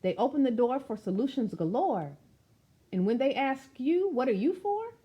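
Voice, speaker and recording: Woman, storytime reader, warm relaxed tone, animated intonation, children's read-aloud, expressive, direct address to listeners. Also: sits high, moderate pace, slightly rough. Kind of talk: read-aloud of a rhyming children's picture book with chatty asides.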